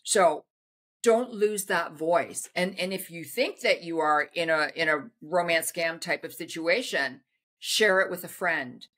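A middle-aged woman with a somewhat low voice speaks as a guest from her home over a webcam. She gives earnest, emphatic advice, often pausing on fillers before she presses her point. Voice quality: loud voice. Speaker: interview guest. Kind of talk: conversational interview over video call. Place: indoor home room. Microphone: video call webcam.

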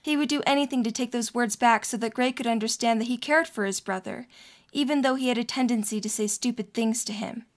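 A woman's voice: on the high side, brisk. A woman reading scripted story narration as the narrator of an audio drama.

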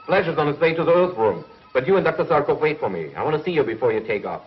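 An actor plays a commanding middle-aged male commissioner in 1950s space television. He talks quickly in a clipped, official way, a little high in pitch. The old soundtrack sounds muffled. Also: animated, distant mic, natural voice. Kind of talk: 1950s TV serial acting. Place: studio control-room set.

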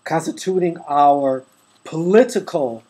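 A man hosting his own online channel, reading a book passage aloud to his webcam in a measured, deliberate way at home. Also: fairly high pitch, lively intonation, warm tone, a little hiss or hum. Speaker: YouTube host reading from a book. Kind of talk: slow, deliberate read-aloud to camera. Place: indoors at home, holding a book to the camera.

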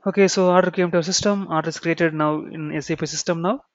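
Higher-pitched Indian man teaching, muffled sound. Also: steady tone, fast talker, dry recording. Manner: repeats key phrases, checks with "okay" and "right". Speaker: software trainer. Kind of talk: explanatory screen-share tutorial.

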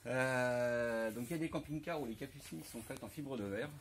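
A man's voice: mid-range voice, measured pace, a little hiss or hum. A man in his forties with a French accent casually explaining a camper van rebuild in a large workshop, talking through a lapel mic with many hesitations and restarts. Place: large workshop garage, indoors.

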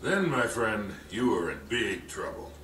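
An actor playing a gruff, wisecracking older treasure-hunting mentor in a video game, folksy and teasing. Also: male voice, fairly low pitch, sing-song, a little slow. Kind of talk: video game cutscene acting. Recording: roomy, distant game audio with music.

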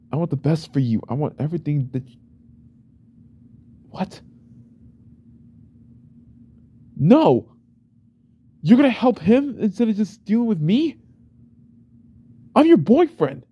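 A man playing a jealous, unhinged yandere boyfriend in an ASMR roleplay, speaking softly and often in whispers, intense and controlling. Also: fairly high pitch, highs cut off, voice pushed up, heavily acted. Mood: pleading, angry. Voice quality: soft, breathy, often whispered.

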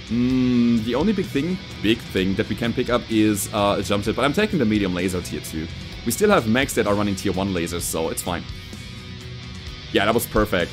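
Man with lively pitch, gaming commentary. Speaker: gaming video host. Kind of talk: casual gameplay commentary. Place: indoor home streaming room. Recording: loud game music and gunfire behind. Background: game music, gunfire, explosions.

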